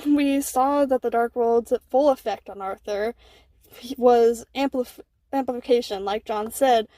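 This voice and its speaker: Woman, high and soft-edged voice, earnest listener message, explanatory, dry close sound. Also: no room sound. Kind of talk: prepared listener commentary, personal voice message.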